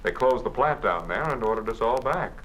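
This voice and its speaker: A genial, easygoing old friend of the family, played by a man in a 1950s radio drama. His voice is lively in pitch and often chuckling. A faint hiss of an old broadcast runs under it. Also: fairly quick, close to an everyday voice, rough recording.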